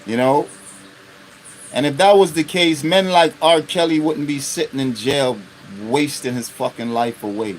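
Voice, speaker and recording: A man's voice: a little high, unhurried, a little hiss or hum, low-quality recording. Blunt, profane man in his fifties. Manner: blunt and profane, with constant rhetorical check-ins. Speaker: live-stream host. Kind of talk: unscripted live monologue.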